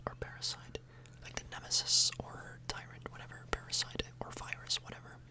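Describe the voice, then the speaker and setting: A woman speaking in a breathy whisper, rambling casually for an ASMR video, close to the microphone and often tagging on questions. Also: brisk. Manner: casual, meandering, frequent tag questions.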